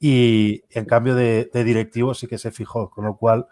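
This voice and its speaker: A man from Spain, a guest and former player, speaking haltingly with dry, self-deprecating humor. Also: medium pitch, little pitch movement, darkish tone, dry recording. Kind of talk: casual interview.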